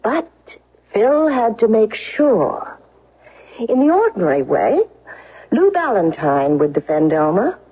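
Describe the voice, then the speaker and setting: A woman's voice: mid-range voice, very animated, slow, slightly rough, heavily acted. A woman playing a commanding, relentless defense lawyer in a 1970s radio courtroom drama, speaking in an emphatic, accusatory way. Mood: accusing. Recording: dull, lo-fi old radio recording.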